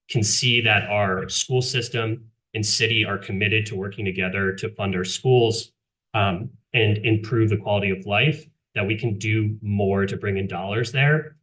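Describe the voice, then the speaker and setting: A man, a council member, making measured remarks at a city council meeting, with frequent fillers. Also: lowish voice, fairly bright tone, rough recording.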